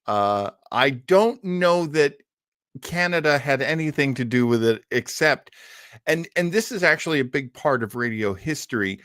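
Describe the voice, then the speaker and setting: A man's voice: medium pitch, expressive, normal speed, fairly bright tone, dry recording, studio quality. An older man hosting an old time radio show, chatting casually with live-stream listeners in a rambling, enthusiastic way.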